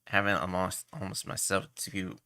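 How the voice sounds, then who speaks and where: A man livestreaming a game with casual commentary, hesitant and halting, mumbling at times as he gathers his words. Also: low voice, little pitch movement, vocal fry, sharp tone, dry recording, clean studio sound.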